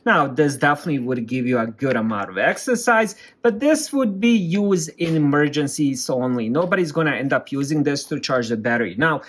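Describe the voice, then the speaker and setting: A man's voice: on the high side, sing-song, brisk, hardly any pauses, fairly bright tone, slight background noise. A man giving a casual hands-on product review, explaining things plainly step by step.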